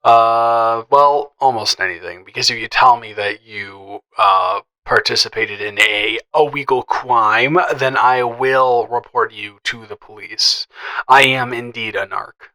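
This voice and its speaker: Guy playing a teasing best friend type, bright voice, kinda shy n stammery, flirty audio roleplay, chatty. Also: medium pitch, poor audio. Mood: joking. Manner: playful, teasing, halting with stammers and chuckles. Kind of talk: improvised, conversational romantic audio roleplay.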